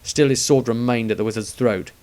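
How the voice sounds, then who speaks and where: A male narrator with a medium-pitched voice reads a fantasy tale. His delivery is scripted and dramatic, in the manner of an author reading his own audiobook. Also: brisk, a little mellow.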